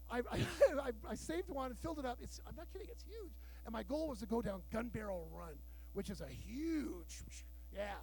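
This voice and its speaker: High-voiced man doing bawdy stand-up. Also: gravelly, low-quality recording. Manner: loud, bawdy storytelling with acted-out dialogue. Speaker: stand-up comedian. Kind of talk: stand-up comedy set. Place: live show room with audience.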